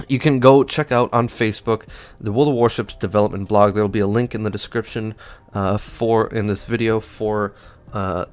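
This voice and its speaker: Low-voiced man rambling through game stats. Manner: rambling, many fillers and restarts, offhand. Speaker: gaming channel host. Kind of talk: casual gameplay stats walkthrough.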